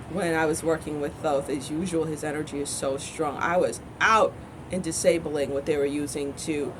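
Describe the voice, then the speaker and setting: A woman's voice: on the low side, a little hiss or hum, rough recording. A woman spiritual teacher from the United States talks in a rambling, self-correcting way, full of earnest conviction, in a spiritual vlog monologue.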